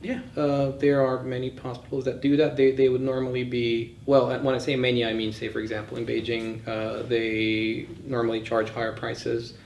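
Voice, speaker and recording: A man lectures informally in a medium-pitched voice, offering firm opinions as he thinks aloud. The room is echoey, with faint noise behind him. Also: completely flat delivery, moderate pace, vocal fry, hardly any pauses, a little mellow. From born in Bulgaria, grew up in several countries. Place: indoors in a session room.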